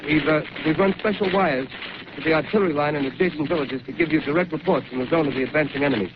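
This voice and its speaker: A radio news announcer, played by an actor, rattles through formal war bulletins at great speed. His voice sits a little high. The old 1930s broadcast sounds dull, with a faint hiss. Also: natural voice. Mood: urgent. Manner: rapid formal bulletin reading. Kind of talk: 1930s radio drama acting.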